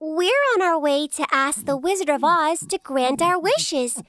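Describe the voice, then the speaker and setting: A woman's voice: high voice, a little slow, fairly bright tone, no echo, studio quality. A woman voicing a sweet, earnest young girl in a children's storybook cartoon. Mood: friendly.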